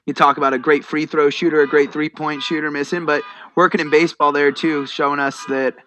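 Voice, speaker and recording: A man's voice: a little high, little pitch movement, brisk, bright, forceful tone, a little hiss or hum. An American man giving conversational color commentary and analysis in a baseball broadcast.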